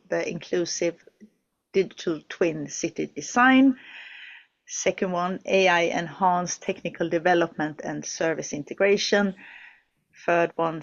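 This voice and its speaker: A woman's voice: on the low side, creaky, dull audio. A woman hosting an online project session from a studio, talking hesitantly with many fillers and restarts. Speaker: webinar host.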